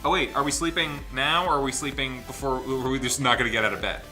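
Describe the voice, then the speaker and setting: A man's voice: medium pitch, steady tone, quick pace, bright, forceful tone. A man hosting a casual group let's play, reading the game's text aloud and chatting in a jokey way. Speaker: gaming channel host reading a visual novel aloud. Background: game music behind the voice.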